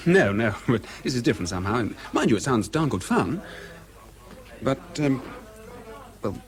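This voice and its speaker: Guy acting cheery young english raf pilot, mid pitch, very animated, slangy and jaunty radio drama. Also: normal speed, lightly acted. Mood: awkward, offhand. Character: Dick, big breezy young male RAF fighter pilot. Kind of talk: British radio drama dialogue.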